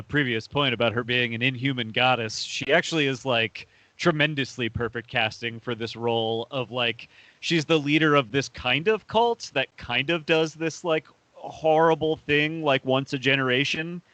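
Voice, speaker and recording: A man's voice: medium pitch, normal speed, sharp tone, no room sound. A man co-hosting a podcast, casually talking through his reading of a film. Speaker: podcast co-host.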